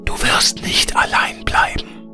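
A man voicing a kind male air spirit in a soft, hushed whisper. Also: slow pace, soft background music. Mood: gentle.

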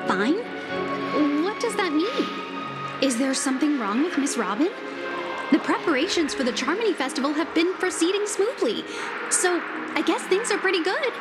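Polite anxious hotel girl in a game, high swoopy voice, music. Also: big pitch swings, normal speed. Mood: puzzled, polite. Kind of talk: anime game voice acting. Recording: loud music under the voice.

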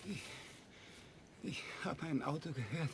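Halting, wounded small-time crook. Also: male voice, a little high, expressive, very noisy. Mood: weak, pleading. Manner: halting, broken phrases. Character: wounded small-time crook, frightened, gasping out his story. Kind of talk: German radio crime drama acting.

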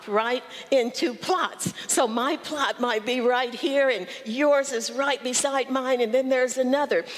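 Older lady preaching slow and easy, kinda high voice, big echoey church. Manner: measured, conversational preaching with wide gestures. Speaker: guest preacher. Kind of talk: sermon. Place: large church sanctuary. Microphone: headset mic. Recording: long reverb of a church hall.

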